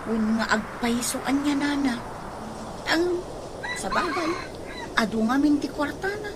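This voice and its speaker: A high-pitched, gossipy young woman. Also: lively intonation, a little slow. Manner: chatty, exclamatory. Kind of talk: comedic radio drama acting. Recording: noisy radio drama recording.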